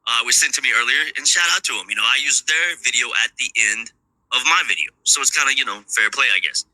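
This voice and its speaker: A man's voice: medium pitch, quick pace, bright, forceful tone, dry recording, low-quality recording. A man in a played video clip, casually commenting on news in a rambling, offhand way.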